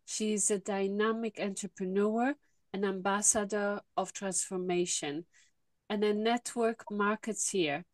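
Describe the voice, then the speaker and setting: A woman co-hosting an online summit, introducing the guest in a deliberate, upbeat way over a video call. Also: mid-range voice, steady tone, no room sound. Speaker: co-host, leadership coach.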